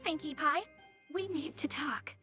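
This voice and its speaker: Stern cartoon pony boss lady played by a woman, high bright voice, audio drama. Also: measured pace, soft background music. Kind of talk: scripted fan audio drama acting.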